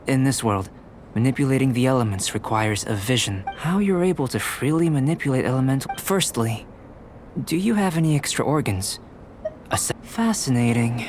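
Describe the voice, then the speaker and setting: A man playing a calm young alchemist researcher in a video game, measured, precise and detached. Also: mid-range voice, a little hiss or hum, close to an everyday voice. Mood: detached curiosity. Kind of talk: scripted game dialogue. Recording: game music behind the voice.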